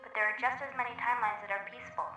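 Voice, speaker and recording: A woman playing a serene, all-knowing child spirit in a comic dub, speaking in a calm, measured, patient way through an echoing, distant filter. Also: a little high. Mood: gentle, reflective. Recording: echoing, distant, music underneath.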